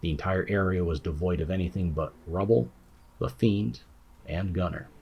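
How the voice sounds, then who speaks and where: A man's voice: low-pitched, normal speed, gravelly, breathy edge, highs cut off. A man giving a scripted narration of a fantasy audiobook as its narrator.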